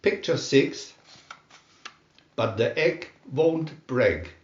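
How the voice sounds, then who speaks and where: A man narrating a scripted children's storybook in a deliberate, expressive storytelling manner. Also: medium pitch, echoey room, muffled recording.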